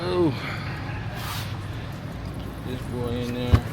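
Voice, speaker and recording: A man's voice: medium pitch, drawn-out words, heavy background noise. A man chatting casually to viewers as a vlogger, in a laid-back, slangy way with short repeated phrases. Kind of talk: casual car vlog chat.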